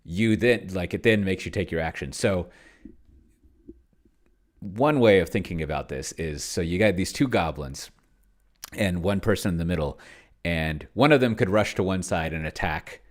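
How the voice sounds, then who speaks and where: A man casually thinking aloud as he hosts a tabletop game session, musing and halting as he goes. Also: deep voice. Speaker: host playing a tabletop roleplaying game with an AI game master.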